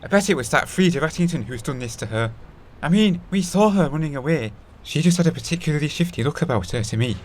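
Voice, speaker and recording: A man's voice: on the high side, lively intonation, fast talker. A man playing an earnest, suspicious young crusader with an accusing manner in an audio play. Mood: indignant.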